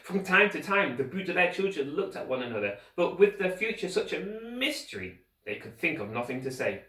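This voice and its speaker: A man reading a children's story aloud like a teacher, with expressive, deliberate scripted narration. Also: a little high. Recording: roomy echo, distant microphone.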